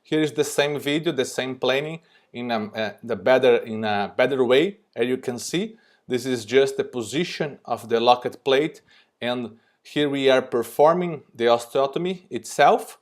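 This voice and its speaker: Brazilian man giving a halting talk. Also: medium pitch. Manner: non-native, halting with frequent fillers. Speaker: surgeon presenting. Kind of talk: recorded conference lecture. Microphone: remote recorded presentation.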